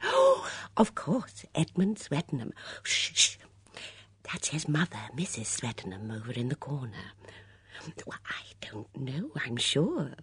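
A woman reading aloud voices a fluttery, anxious elderly spinster companion in a breathy, whispery, gushing manner. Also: a bass voice, wildly swooping pitch, measured pace, no room sound, heavily acted. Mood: gossipy. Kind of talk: audiobook character voice.

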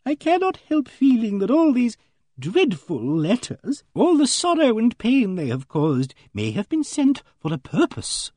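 Man voicing a high-pitched, fluttery elderly spinster. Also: huge pitch swings, measured pace, mellow, dry recording, studio quality, full character voice. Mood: pious earnestness. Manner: hesitant, agitated, murmuring. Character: genteel, fluttery, pious elderly spinster, easily shocked. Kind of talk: audiobook character dialogue.

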